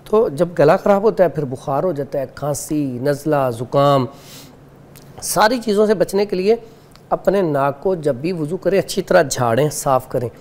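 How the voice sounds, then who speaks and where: A man's voice: fairly high pitch, lively intonation, moderate pace, a little mellow. A man from Pakistan, a studio guest giving herbal health advice, earnest and instructive, going step by step. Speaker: herbal remedy expert guest.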